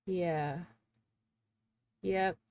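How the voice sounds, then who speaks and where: A woman's voice: fairly low pitch, steady tone, extremely slow, a little mellow, clean tone. Congested chatty woman streamer. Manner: rambling, chatty, thinks aloud. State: congested, sneezing. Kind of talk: casual livestream chat.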